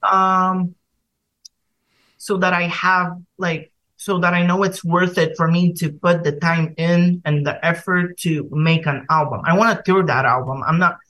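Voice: mid-range voice, fairly even intonation, measured pace, pushed and bright, low-quality recording. A young singer from Bulgaria with a Bulgarian accent, talking casually as a podcast guest and hesitating with many fillers and restarts.

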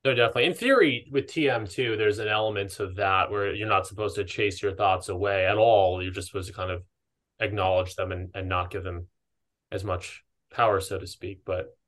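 A man's voice: on the low side, fairly even intonation, brisk, a bit bright. A man chatting casually as a podcast co-host, hesitant and rambling as he keeps interrupting himself.

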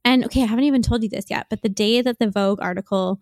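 A woman's voice: on the high side, very fast, darkish tone, dry recording, clean studio sound. Musing woman podcast co-host. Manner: musing, rambling with frequent "like". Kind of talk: casual chat.

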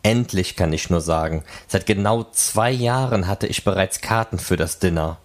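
A man's voice: deep voice, moderate pace. A man presenting and reporting as the host of a fan podcast. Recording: noisy, ambient background sound.

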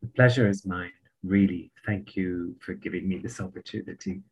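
A man from Palestine with a British accent, a memoir author telling lively stories in an interview and acting out other voices. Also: sits low, normal speed. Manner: animated anecdotes, laughs often, acts out voices. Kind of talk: storytelling in an interview.

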